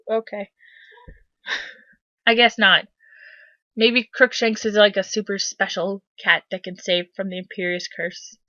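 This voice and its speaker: A woman's voice: medium pitch, sharp tone, no echo, highs cut off. A woman giving sarcastic, incredulous commentary as she hosts a dramatic reading of fan fiction. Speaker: host doing a dramatic reading. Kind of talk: mocking commentary on read-aloud fan fiction.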